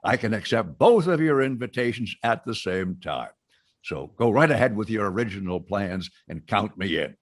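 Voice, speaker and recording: An actor playing a genial, oblivious factory boss in old-time radio sitcom style. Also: male voice, fairly low pitch, lively intonation, moderate pace, dry recording, clean studio sound. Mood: delighted.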